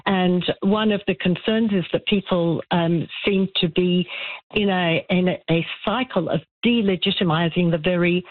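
A man's voice: high-pitched, steady tone, no echo. A man with an Australian accent calling in to a discussion show, speaking earnestly and restarting his sentences often. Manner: earnest, halting with many restarts. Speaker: caller. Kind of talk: call-in discussion. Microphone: telephone. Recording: phone line.

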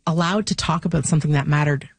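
A woman with a low, husky voice and a gravelly edge, hosting a radio interview. She asks measured, gently probing questions in a dry studio sound. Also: no echo. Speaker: radio host.

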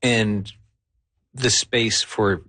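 A man's voice: on the low side, fairly even intonation, very slow, bright, forceful tone, no room sound. A man hosting a casual interview, who rambles and restarts his questions with a joking manner. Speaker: interview host.

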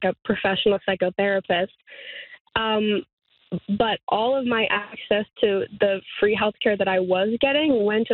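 A woman of twenty talking earnestly in a radio interview, halting and restarting, with light laughs. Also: medium pitch, no echo. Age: 20s. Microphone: phone. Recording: tinny phone line, dry.

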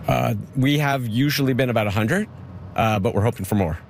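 A man who teaches fitness and co-runs group bike rides, talking in a relaxed, easygoing way during an outdoor interview. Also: medium pitch, brisk, gravelly, slight background noise. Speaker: fitness instructor and ride co-organizer. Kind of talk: casual interview.

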